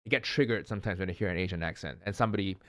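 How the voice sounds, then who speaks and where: A man's voice: low voice, rapid-fire, dry recording, studio quality. A man from Malaysia with a slight Malaysian English accent, chatting casually and jokily, often repeating words as he thinks. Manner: relaxed, jokey, repeats words while thinking. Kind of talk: casual chat.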